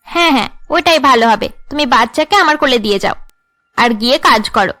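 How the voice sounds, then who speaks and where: A woman voicing haughty, scheming young women in a cartoon drama, with an imperious, sharp-tongued way of talking. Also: high voice, animated, a little fast. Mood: haughty, demanding. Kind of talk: Bengali cartoon drama dubbing.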